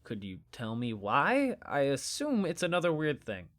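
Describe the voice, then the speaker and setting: A woman's voice: a bass voice, wildly swooping pitch, moderate pace, full character voice. A woman playing a stubborn, skeptical young friend in a naturalistic audio drama, with a dry, flippant delivery. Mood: panicked.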